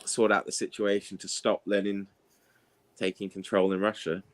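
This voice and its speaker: A man's voice: on the low side, flat delivery, moderate pace. A man in a casual chat, telling anecdotes in a rambling, conversational way. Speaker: participant in an online book discussion.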